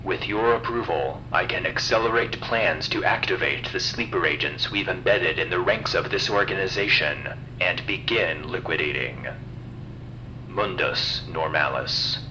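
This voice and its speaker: An actor playing a cold, formal intelligence officer dictating a businesslike report. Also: male voice, low voice, a little slow. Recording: dull radio-like audio, clear background noise.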